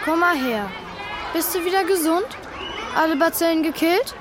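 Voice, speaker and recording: A female-sounding performer playing a loudmouthed, mocking schoolyard bully boy in a radio play. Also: extremely high pitch, moderate pace, a crowd in the background. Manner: mocking, taunting. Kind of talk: German radio play acting.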